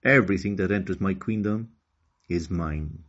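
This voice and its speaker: A man's voice: deep voice, normal speed, fairly bright tone, dull audio. A man voicing a haughty, commanding crocodile queen in a children's storybook read-aloud. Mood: imperious, angry. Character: imperious crocodile queen ruling an underwater world.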